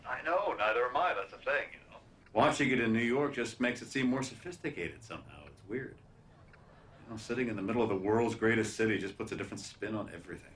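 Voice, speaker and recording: A folksy American TV host with a fairly low voice. He rambles in a sanctimonious, self-important, patriotic way, as in a film drama, with faint noise behind him. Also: animated, quick pace, fairly bright tone. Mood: chatty, rambling. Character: folksy, self-important, flag-waving male TV host, lonely off camera.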